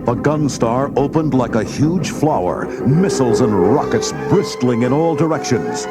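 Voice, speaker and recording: A man's voice: mid-range voice, expressive, moderate pace. A man giving scripted, dramatic narration for a storybook read-along. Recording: loud music and effects behind.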